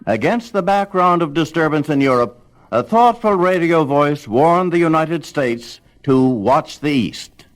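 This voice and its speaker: A man delivering scripted documentary narration in a measured, dramatic storytelling manner. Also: on the high side, expressive. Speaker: narrator of a radio history album.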